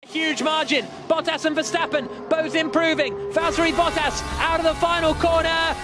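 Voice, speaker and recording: A man giving live motor racing commentary with an excited, urgent play-by-play delivery. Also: very high-pitched, normal speed, music in the background.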